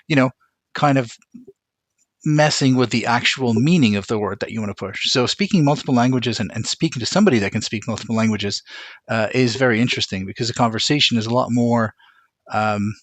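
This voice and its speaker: A man from Lebanon answering interview questions conversationally, pausing often as he thinks things through in a measured, reflective way. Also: medium pitch, no echo. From Lebanon, immigrated to Montreal as a teenager. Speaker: interview guest, corporate aviation operations manager.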